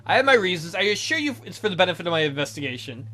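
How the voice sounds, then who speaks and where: A woman's voice: on the low side, big pitch swings, rapid-fire, a bit bright. A woman doing a game playthrough, reading text aloud and adding wry, sarcastic asides. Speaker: let's player. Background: game music.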